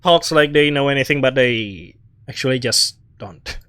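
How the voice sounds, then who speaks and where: A man's voice: medium pitch, moderate pace, sharp tone, smooth, dry recording. A man giving casual, playful game commentary, reacting in short murmured remarks on a close mic. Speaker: gaming video host.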